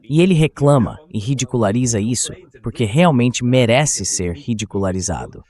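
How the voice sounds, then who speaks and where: A man's voice: mid-range voice, lively intonation, moderate pace, a little mellow. A man's synthetic voice dubbing the host of a live discussion in translation. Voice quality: synthetic dubbed voice. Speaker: interview host.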